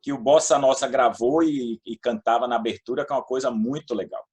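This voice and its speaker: A man's voice: medium pitch, steady tone, fairly quick, darkish tone, breathy edge. A middle-aged or older man with a Brazilian accent from São Paulo state, talking casually and anecdotally as an interview guest. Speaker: guest, former public housing and utilities official.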